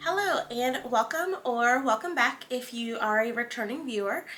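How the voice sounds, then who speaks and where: A woman's voice: fairly high pitch, moderate pace, faint music behind. Congested woman hosting a knitting podcast. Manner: relaxed, chatty, with many fillers. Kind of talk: casual chat.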